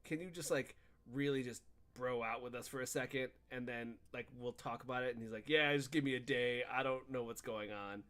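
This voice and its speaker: A man's voice: medium pitch, brisk, hardly any pauses, a bit bright. A man co-hosting a podcast, chatting casually about video games in a rambling, slangy bro style and acting out bits of dialogue. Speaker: podcast co-host.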